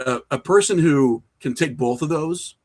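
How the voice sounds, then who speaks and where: A man talking casually and conversationally as a podcast guest, telling things in an animated, storytelling way. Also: a little high, moderate pace. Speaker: podcast guest, horror filmmaker and writer.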